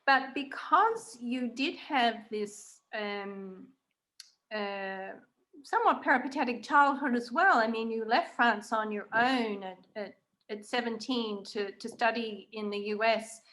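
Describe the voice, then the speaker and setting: Interviewer: woman, fairly high voice, reflective conversational delivery, measured with mid-phrase pauses. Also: sharp tone, rough recording. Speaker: interviewer, host.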